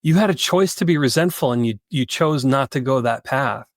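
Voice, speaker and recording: A man's voice: medium pitch, lively intonation, moderate pace, no room sound, studio quality. A man co-hosting a podcast, speaking earnestly and repeating words for emphasis. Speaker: podcast co-host. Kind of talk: reflective conversation.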